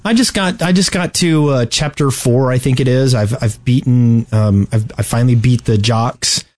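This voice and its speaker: A man's voice: medium pitch, normal speed, darkish tone. A man in his forties hosting a podcast in casual chat, joking and rambling through his stories. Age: 40s. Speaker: podcast host.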